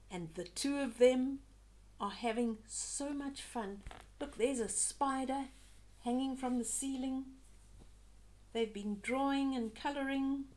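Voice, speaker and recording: An older woman reading a children's story aloud, expressively, with chatty asides to young listeners. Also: on the high side.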